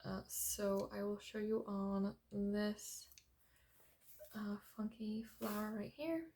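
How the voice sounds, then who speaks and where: A young woman hosting a coloring tutorial in a very quiet, soft-spoken voice, hesitant and apologetic, with many ums as she works. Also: mid-range voice, level tone, takes it slowly. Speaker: art tutorial host. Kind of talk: unscripted coloring tutorial narration.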